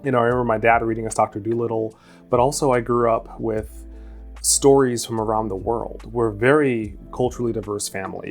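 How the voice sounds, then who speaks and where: A man, an author hosting his own channel, talking earnestly and reflectively in a solo vlog. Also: fairly low pitch. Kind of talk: solo vlog monologue to camera.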